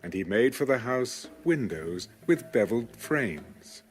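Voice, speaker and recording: Expressive male Bible narrator over soft music. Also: mid-range voice, measured pace. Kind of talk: scripted scripture narration.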